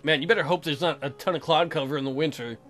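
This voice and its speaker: A man's voice: fairly high pitch, fast, a bit bright, soft background music. A man doing crude, sarcastic joking commentary while reading a visual novel aloud as a let's player.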